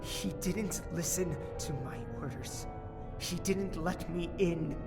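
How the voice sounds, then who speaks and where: An actor playing a wounded young crown prince in an audio drama, proud, bitter and pleading, with formal, articulate speech. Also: male voice, high-pitched, steady tone, slow pace, over loud music. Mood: tearful, pleading. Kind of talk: audio drama with inner monologue.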